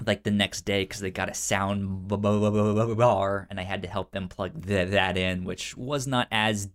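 A man's voice: fairly low pitch, steady tone, normal speed, fairly bright tone. Man with a frequent stutter telling stories. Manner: frequent stutter, repeating first sounds of words. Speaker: podcast co-host. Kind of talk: casual storytelling chat.

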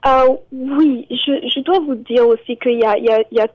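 A woman's voice: sits high, normal speed, no echo. A woman immigration columnist, speaking in a radio interview and hesitating with many fillers and repeated words. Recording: tinny phone line, very dry.

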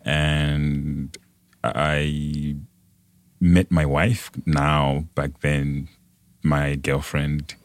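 Very deep-voiced Malawian man. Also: level tone, very slow, no room sound. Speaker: podcast guest. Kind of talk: conversational personal storytelling.

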